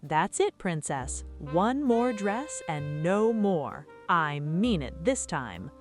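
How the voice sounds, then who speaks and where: A woman's voice: on the low side, big pitch swings, slow pace, soft background music. A woman voicing a large, cheerful, indulgent father in a bedtime story, hearty and folksy. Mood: mock stern. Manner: hearty, folksy, mock-stern.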